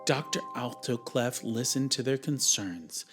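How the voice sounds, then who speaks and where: A man's voice: mid-range voice, expressive, measured pace. A man who teaches music, narrating a story for young children.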